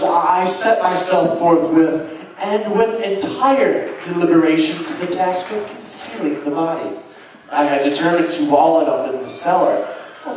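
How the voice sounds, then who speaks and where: A man playing a haunted, unhinged murderer confessing his crime in a halting, theatrical dramatic stage reading of gothic horror. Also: a little high. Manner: halting, theatrical, swinging from hushed to agitated. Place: live show at an amusement park, far from microphone. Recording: very echoey, distant live recording, faint noise.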